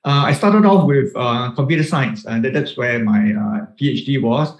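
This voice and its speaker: A man's voice: medium pitch, normal speed, lo-fi sound. Male academic panelist, mid-range voice, friendly brief intro. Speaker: professor, panelist.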